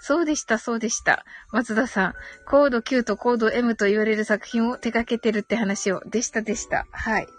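A woman's voice: fairly high pitch, fairly even intonation, a little fast, few pauses, soft background music. A giggly woman hosting a casual live audio stream, chatting and reading out listener comments with frequent short acknowledgements. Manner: giggly, frequent laughter while talking.

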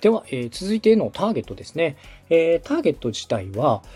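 Male host, polite and methodical consultant, mellow tone, animated pitch movement. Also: quick pace, husky, soft background music. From Osaka, Japan. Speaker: host, podcast producer coaching a client. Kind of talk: structured advice in a podcast consultation.

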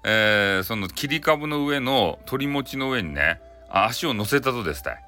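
A man's voice: medium pitch, expressive, measured pace, sharp tone. A man with a Hakata accent telling a bedtime folktale in a casual, chatty, colloquial way. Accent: Hakata, Japan. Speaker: storyteller.

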